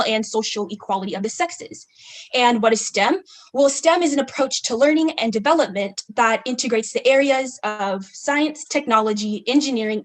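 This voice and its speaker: A young woman from California giving a student group presentation, reading from slides with frequent ums. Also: a little high. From California, United States.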